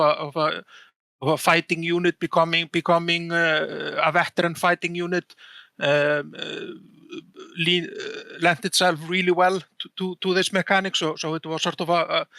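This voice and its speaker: A man being interviewed about game design, talking haltingly with many repeated words and restarts. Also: on the high side, pushed and bright, no room sound, studio quality. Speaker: game design director guest. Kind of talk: casual podcast interview.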